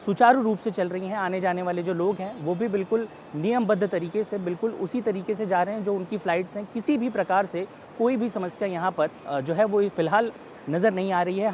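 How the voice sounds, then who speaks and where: High-voiced man reporting on location. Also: a little mellow. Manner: wordy, repetitive delivery. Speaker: field news reporter. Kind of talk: live-style on-location reporting. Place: outdoors at an airport. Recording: faint outdoor traffic noise.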